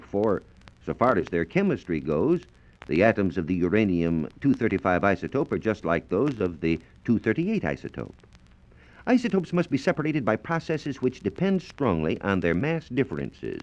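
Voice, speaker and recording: A dull, lo-fi 1940s transcription disc carries an American man's low voice. He explains science as a formal, carefully worded radio script, with lively rises and falls in his intonation. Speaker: science reporter and presenter.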